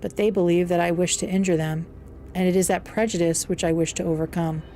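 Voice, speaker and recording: A woman's voice: on the low side, steady tone, brisk, dark tone, slight background noise, close to an everyday voice. Woman voicing a lonely, eloquent outcast monster. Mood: earnest, troubled. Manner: earnest, formal, sorrowful. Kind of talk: audiobook character dialogue.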